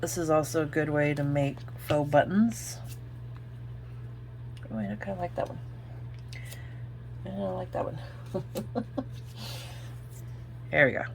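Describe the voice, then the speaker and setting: A woman with an Oklahoma accent hosts a relaxed crafting tutorial, talking through each step and thinking aloud as she works. Her voice sits low with a gravelly edge, over a faint noise in the background. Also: takes it slowly, pauses often. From Oklahoma, United States. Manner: chatty, thinks aloud while working. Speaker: craft video host.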